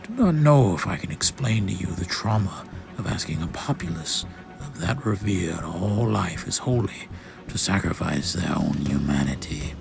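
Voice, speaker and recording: A man's voice: low voice, animated, moderate pace, gravelly. A man giving a solemn, theatrical first-person narration of a space saga, as in a dramatic comic-book reading. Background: music.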